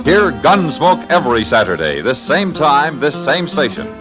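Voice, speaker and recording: Very animated vintage radio announcer, male. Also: fairly high pitch, normal speed. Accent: American. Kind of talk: 1950s radio promo announcing. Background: loud guitar music.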